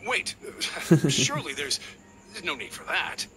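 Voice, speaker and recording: A man's voice: on the high side, a little slow, over loud music, lightly acted. A man voicing a shifty, greedy but easily cowed mine trader in a video game, with a theatrical, wheedling manner. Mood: cowed, pleading.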